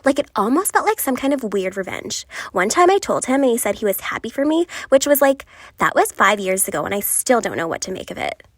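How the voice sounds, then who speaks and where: A woman playing a lovelorn, frustrated passenger venting confessionally in an animated series. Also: a little high, fairly quick, dry recording, clean studio sound. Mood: wistful, frustrated.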